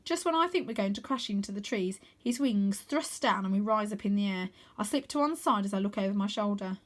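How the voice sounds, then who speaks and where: A woman reading a children's story aloud in an expressive narrating style. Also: medium pitch, a little fast. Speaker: storybook reader.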